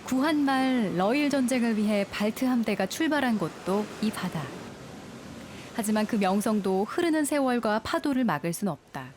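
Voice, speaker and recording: Calm woman narrating a travel documentary. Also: a little high, background noise. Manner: calm, measured storytelling. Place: studio voice-over. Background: music.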